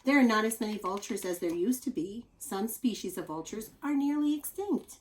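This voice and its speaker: A woman reading a children's nonfiction book aloud like a teacher, expressive and explanatory, adding chatty asides for young listeners. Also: a little high, moderate pace, low-quality recording.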